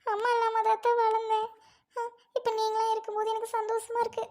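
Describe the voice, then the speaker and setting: A woman voicing a humble young bride who speaks softly and politely to her new family. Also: very high voice, completely flat delivery, fast. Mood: soft, pleading. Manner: soft, polite, keeps addressing mother-in-law.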